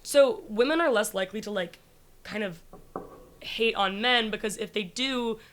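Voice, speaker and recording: A woman with a naturally raspy voice chatting casually on a podcast, talking in a rush, full of likes and restarts, often laughing. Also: medium pitch, normal speed, crowd noise. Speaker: comedian guest.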